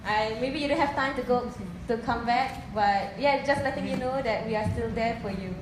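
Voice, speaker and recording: A woman's voice: on the high side, normal speed. A nervous woman giving an informal personal message as a fan speaking at an event. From Singapore or Malaysia. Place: press conference room, on microphone. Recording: echoey room with background noise.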